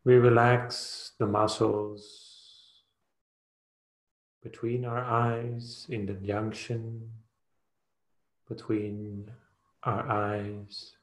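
A man guides a meditation in an even, very slow delivery. He speaks soothingly in short phrases, leaving long pauses between them. The sound is roomy, with a clear echo around his voice. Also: on the low side. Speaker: meditation teacher.

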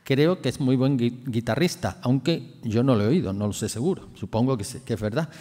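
A man introduces a guest speaker, reading out his bio and adding small offhand asides. His voice sits at a middle pitch, and the hall leaves a long reverb behind his words. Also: animated, fairly quick, warm tone. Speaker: presenter of the speaker. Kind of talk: formal introduction. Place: lecture hall with audience. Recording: hall reverb from a lecture room.

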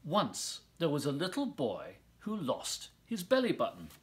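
A middle-aged man giving an animated reading of a children's storybook in a living room at home. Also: on the high side, unhurried. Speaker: volunteer story reader.

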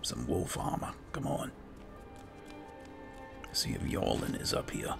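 Very deep, gravelly man narrating a game. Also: huge pitch swings, slow pace, over music. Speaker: gamer playing in character. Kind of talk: casual roleplay gameplay narration.

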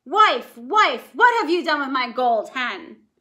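A woman voicing a huge, greedy male ogre who booms his lines in a shouted chant. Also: very animated, unhurried, exaggerated voice. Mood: booming.

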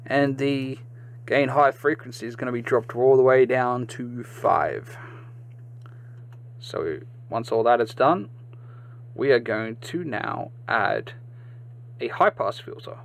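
A man hosting a step-by-step software tutorial, halting and sometimes repeating or correcting himself. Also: mid-range voice, animated, vocal fry. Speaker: tutorial host. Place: indoors, screen-recording voice-over.

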